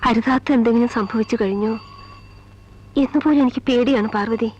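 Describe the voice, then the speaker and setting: Woman acting a high-voiced, strict, anxious mother. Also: steady tone, a little fast, darkish tone, a little hiss or hum, natural voice. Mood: anguished. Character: strict, anxious, wounded mother of a teenage daughter. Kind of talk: Malayalam film drama acting. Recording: dull old film soundtrack with music.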